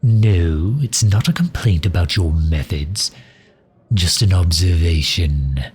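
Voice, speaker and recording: Man voicing very deep, sardonic mage advisor. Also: a little mellow, slightly rough, exaggerated voice. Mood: guarded, dry. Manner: dry, sardonic, drawn-out delivery with sighs. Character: loyal, sharp-tongued male mage advisor to a demon ruler. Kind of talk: ASMR roleplay audio drama.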